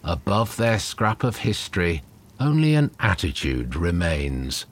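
A man reading poetry aloud in a measured, dramatic recitation, deliberate and with lingering pauses between phrases. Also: low voice, big pitch swings. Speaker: poetry reader.

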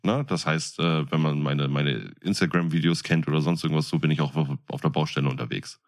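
A man's voice: extremely low pitch, steady tone, brisk. A man co-hosting a podcast in casual chat, with a jokey, colloquial, buddy-like way of talking. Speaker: podcast co-host.

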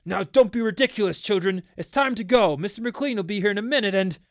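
A man voicing an exasperated, stern father in a dramatic story reading, forceful and insistent. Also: high-pitched, animated, a little slow, no echo, clean studio sound, pitched above the performer's own voice. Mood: frantic, shouting. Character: exasperated stern father, fed up, barking orders.

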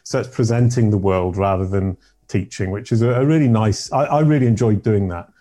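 A middle-aged man from Yorkshire with a deep voice, telling his life story as a podcast guest in a rambling, self-correcting way. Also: a little fast, dark tone. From Yorkshire, England. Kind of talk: casual interview storytelling.

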